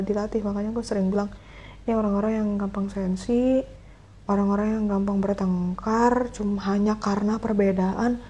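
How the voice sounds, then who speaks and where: Woman host, 30s, husky dark tone, thoughtful, fills pauses. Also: mid-range voice. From Indonesia. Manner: reflective, many fillers and pauses. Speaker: podcast host. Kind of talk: casual solo podcast talk.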